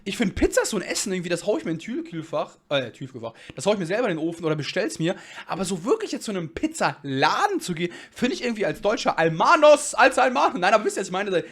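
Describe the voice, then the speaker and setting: Fast-talking slangy young German streamer. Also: male voice, sits high, big pitch swings, husky. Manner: slangy, rambling, swings loud and soft. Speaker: streamer reacting to a video. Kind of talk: casual reaction commentary.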